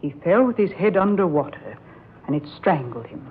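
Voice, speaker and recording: An actress playing a composed, consoling middle-aged aunt, measured and genteel, in an old-time radio drama. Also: female voice, lowish voice, big pitch swings. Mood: soothing. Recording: tinny old radio recording, faint hiss.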